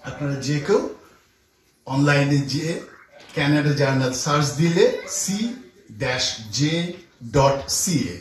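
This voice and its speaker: Guy giving a talk at an event, highish voice, really echoey. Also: measured pace, long pauses, slight background noise. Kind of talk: addressing an audience. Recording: very echoey room.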